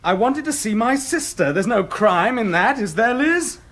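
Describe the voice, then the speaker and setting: An actor in a radio drama playing a smug, cynical English ex-soldier, suave and mocking, with an English accent. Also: male voice, sits high, animated, normal speed, fairly bright tone, exaggerated voice. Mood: mockingly nostalgic.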